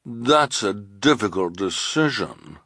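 A man's voice: lowish voice, lively intonation, slow, sharp tone, no room sound, studio quality, full character voice. A man voicing a vain, mocking villainess with an affected manner in an audiobook story. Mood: gleefully cruel. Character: mocking, vain, fashion-loving villainess, Esme.

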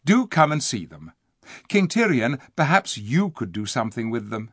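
Brief: gentle, earnest young girl, as a man reads her, voice lifted high and pleading, lively tune. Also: moderate pace, husky, dry recording, studio quality. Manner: raised pitch, pleading, earnest.

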